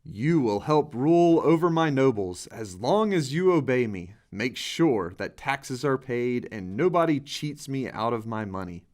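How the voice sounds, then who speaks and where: A man's voice: medium pitch, very animated, a little slow, hardly any pauses, a little mellow. A man voicing a proud, commanding Persian king in a Bible storybook reading.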